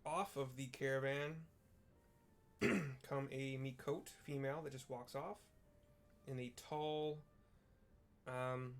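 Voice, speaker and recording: A man hosting a gaming video, describing scenes in a casual, offhand and halting colloquial way. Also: mid-range voice.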